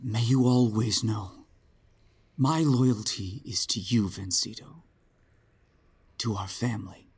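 An actor playing a stern, protective warrior father in an audio drama, speaking with deliberate force. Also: lowish voice, darkish tone, heavily acted. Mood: tender. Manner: slow, deliberate, menacing. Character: stern, protective Jedi father, vengeful in combat. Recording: music clearly behind the voice.

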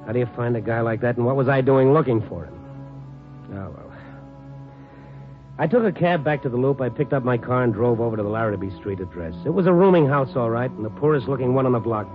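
An actor playing a world-weary, dogged American newspaper reporter, narrating and talking tersely in a 1950s radio drama. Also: male voice, medium pitch, lively intonation, fast, lightly acted. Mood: weary, reflective. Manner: terse, hard-boiled, conversational. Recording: tinny old radio broadcast, music underneath.

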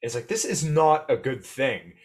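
A man's voice: mid-range voice, big pitch swings, normal speed, a little mellow. A young man in his twenties on a casual political podcast, earnest and opinionated, often restarting his sentences.

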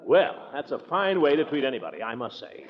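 A man's voice: medium pitch, sing-song, fairly quick, close to an everyday voice. Man playing pompous uncle, wildly swooping voice. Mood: blustering, defensive. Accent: American. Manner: indignant, theatrical, chuckling and sputtering. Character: pompous, blustering middle-aged uncle, easily flustered. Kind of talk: 1940s radio sitcom acting. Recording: muffled, noisy 1940s radio recording.

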